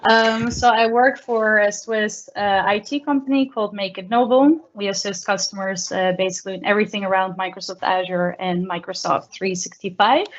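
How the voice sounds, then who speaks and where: Brief: woman panelist from the Netherlands, mid-pitched, upbeat, muffled call audio. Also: fairly even intonation, normal speed, few pauses. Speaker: panelist, community organizer. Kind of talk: self-introduction. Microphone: video call.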